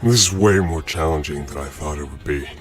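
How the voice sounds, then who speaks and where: A man voicing a gruff, patient superhero combat mentor in an amateur comic dub, stern and instructive. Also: rumbling low, fairly quick, soft background music. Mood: dry.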